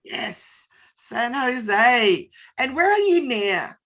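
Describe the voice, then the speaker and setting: A woman hosting a casual live interview over a video call, bubbly and enthusiastic, a little croaky this morning. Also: on the high side, sing-song, very slow, a bit bright. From Australia. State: slightly croaky voice. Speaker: host.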